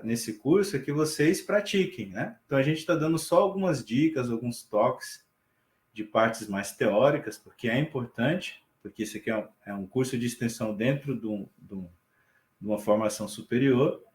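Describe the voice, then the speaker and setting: A man's voice: mid-range voice, roomy sound. Chatty man teaching online. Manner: relaxed explaining with frequent check-ins to students. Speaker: sign language teacher. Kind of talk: online class lecture.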